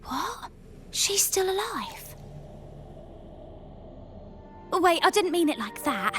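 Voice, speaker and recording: An actress voicing a lively, warm-hearted young crewmate in a video game, talking in an expressive, informal, chummy way. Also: female voice, very high voice, measured pace, full character voice. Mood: shocked, flustered. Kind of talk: English video game voice acting. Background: music.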